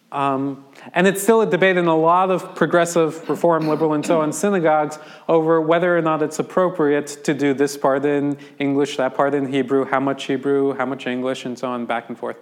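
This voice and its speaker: A man's voice: on the high side, fairly even intonation, normal speed. An American man, a rabbi, giving an informal lecture to a class and explaining things conversationally with frequent ums and restarts. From United States.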